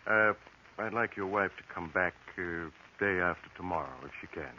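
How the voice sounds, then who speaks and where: An actor playing a grave, tactful doctor in a 1940s radio drama, measured and professional, on a dull, noisy recording. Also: male voice, low-pitched, sharp tone. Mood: reassuring, guarded.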